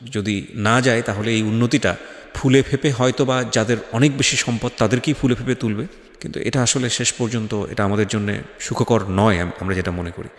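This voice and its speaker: A man with a Bangladeshi accent hosting an interview, speaking in an earnest, explanatory way as he comments and questions. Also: mid-range voice. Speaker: talk show host. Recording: echoey, reverberant room.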